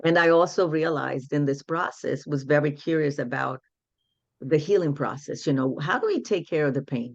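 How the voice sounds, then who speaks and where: A woman's voice: low-pitched, dry recording, lo-fi sound. Woman in her sixties, dry call audio. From Brazil. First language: Portuguese. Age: 60s. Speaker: guest, women's empowerment coach. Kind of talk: casual interview storytelling.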